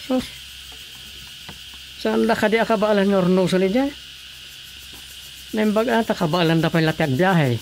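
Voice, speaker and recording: An everyday Ilocano husband in a radio drama. He speaks at a slightly high pitch, with clearly audible night crickets and noise around him. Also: moderate pace, pauses often. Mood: conversational. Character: adult Filipino husband, everyday family man. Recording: noisy track with chirping night crickets.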